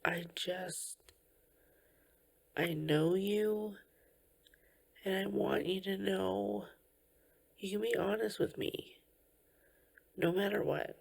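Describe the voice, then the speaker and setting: A woman playing a tender, caring girlfriend in an ASMR comfort roleplay, speaking gently and reassuringly in a breathy voice that often slips toward a whisper. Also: mid-range voice, full character voice. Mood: earnest reassurance. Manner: gentle, slow, reassuring, with soft pauses.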